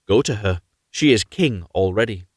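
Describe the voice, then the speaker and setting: Magic storybook fish, done by a man, curt, wish-granting, animated pitch, dry close sound. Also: normal speed, husky, no echo. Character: magic talking flounder granting wishes, curt.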